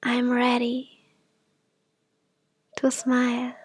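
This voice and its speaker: A woman leads a guided affirmation meditation in a fairly high voice. She speaks very slowly and gently, softening often into breathy near-whispers. The sound is close and dry. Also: monotone, no echo.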